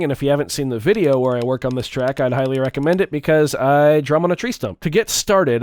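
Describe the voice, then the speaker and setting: A man with a stuffed-up nose, talking through a relaxed, chatty walkthrough of his music gear. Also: on the high side, smooth, dry recording. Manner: relaxed, chatty, with fillers and small restarts. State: stuffed up, congested. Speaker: music gear video creator. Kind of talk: casual tutorial walkthrough.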